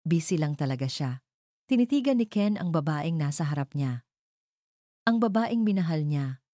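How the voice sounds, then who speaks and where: Woman, deep register, dry close sound, measured dramatic narration, emotive. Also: animated, warm tone, no echo. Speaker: storyteller. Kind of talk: dramatic scripted narration of a Tagalog love story.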